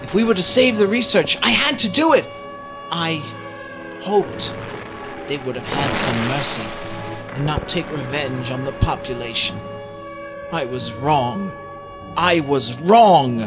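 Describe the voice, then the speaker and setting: A man plays a troubled, guilt-laden elder in a science fiction audio drama. His voice is fairly high, earnest and dramatic. A loud score plays behind him. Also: lively intonation, a little slow, pauses often. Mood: guilty, anguished. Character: anguished, guilt-ridden alien elder man. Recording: loud music under the voice.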